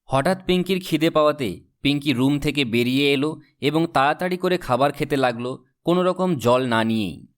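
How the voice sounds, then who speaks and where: A man's voice: fairly high pitch, normal speed. A man reading scripted storybook narration as the narrator of a cartoon tale.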